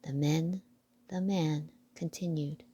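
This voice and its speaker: A woman reading a children's picture book aloud with deliberate storytelling phrasing. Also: low-pitched, fairly even intonation, dark tone, breathy edge.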